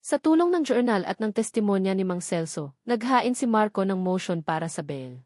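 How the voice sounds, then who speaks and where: A woman's voice: mid-range voice, sing-song, moderate pace, a little mellow, no echo, studio quality. A woman reading a scripted dramatic story as its narrator.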